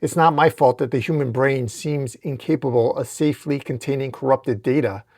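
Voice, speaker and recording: A man's voice: medium pitch, normal speed, a little mellow, no room sound, studio quality, lightly acted. A man voicing a cold, arrogant alien machine villain with a clinical, imperious manner in a told story. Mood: cold, superior.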